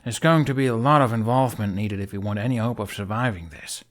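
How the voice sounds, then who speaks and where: A man voicing an earnest, irritable young Viking in an audiobook, speaking in a halting, insistent, exasperated way. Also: fairly low pitch, natural voice. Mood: stressed, insistent. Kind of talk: audiobook character acting.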